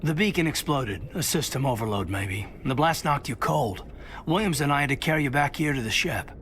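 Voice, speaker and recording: An actor playing a steady military crewmate in a sci-fi game, speaking matter-of-factly. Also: male voice, medium pitch, a little fast, slight background noise, natural voice. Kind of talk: cinematic sci-fi video game acting.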